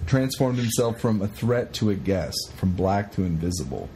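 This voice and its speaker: A man co-hosting a podcast, chatting casually in an animated, rambling, sweary way as he retells stories. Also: on the low side, normal speed, slight background noise. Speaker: podcast co-host. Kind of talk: casual chat retelling a historical story.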